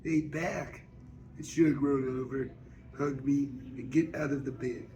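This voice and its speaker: A man reading a first-person novel aloud as an amateur narrator, halting, with stumbles and repeated words. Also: mid-range voice, little pitch movement, warm tone, low-quality recording. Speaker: amateur audiobook reader. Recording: faint background noise.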